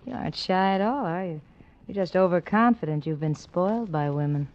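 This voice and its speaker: Actress as a low, sultry femme fatale. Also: female voice, normal speed, close to an everyday voice. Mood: coy, seductive. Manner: coy, languid. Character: sultry, teasing femme fatale luring a man. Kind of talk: 1940s radio noir acting. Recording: muffled, noisy 1940s radio recording.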